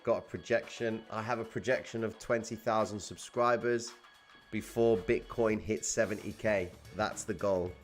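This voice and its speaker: A man's voice: on the low side, fairly even intonation, moderate pace, soft background music. A young man from Cyprus, casually hosting a trading livestream and answering viewer comments in slangy, laid-back chat.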